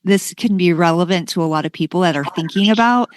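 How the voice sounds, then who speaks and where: A woman's voice: lowish voice, fast, slight background noise. A woman hosting a podcast in a conversational, enthusiastic and emphatic way, moving between chat and sponsor reads. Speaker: podcast host.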